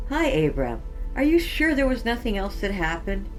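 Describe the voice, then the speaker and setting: A woman voicing a calm, caring female rescuer with a reassuring manner in a story reading. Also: mid-range voice, normal speed, soft background music, pitched above the performer's own voice, natural voice. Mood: calm concern.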